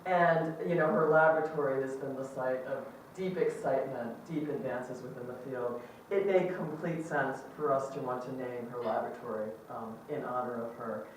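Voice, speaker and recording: A woman's voice: extremely low pitch, normal speed, a little hiss or hum. A woman chairing a department, giving an upbeat welcome speech at a ceremony with frequent ums. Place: large echoey lecture hall. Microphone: amplified microphone recorded from a distance. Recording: very echoey hall from far off.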